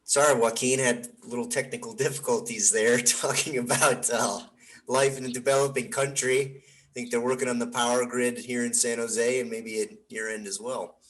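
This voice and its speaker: A man's voice: mid-range voice, fairly even intonation, moderate pace, a bit bright. An American man hosting an interview over a video call, asking chatty, long-winding questions. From United States. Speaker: podcast host. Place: San Jose.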